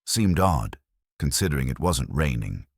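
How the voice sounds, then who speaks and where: A man's voice: very deep voice, big pitch swings, normal speed, vocal fry, breathy edge, dry recording, studio quality, exaggerated voice. A man voicing an observant, courteous council works foreman in a story, precise, deferential and formal. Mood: helpful, precise.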